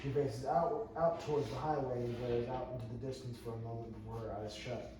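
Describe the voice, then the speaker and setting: A man's voice: medium pitch, steady tone, brisk. A man reading stage directions aloud at a script table read, as narrator. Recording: very echoey room, distant mic, noisy.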